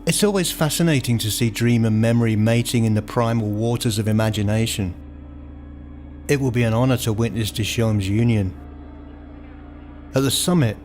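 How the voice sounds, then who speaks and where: A man reading scripted first-person literary narration in a measured, formal manner, as the narrator of a radio play. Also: mid-range voice, a little mellow. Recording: voice over prominent music. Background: music.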